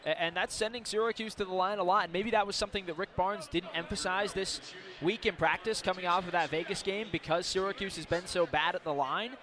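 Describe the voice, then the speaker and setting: A man's voice: high voice, fairly even intonation, fairly quick, a bit bright. A man giving conversational color analysis on a live radio basketball broadcast. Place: basketball arena during live game.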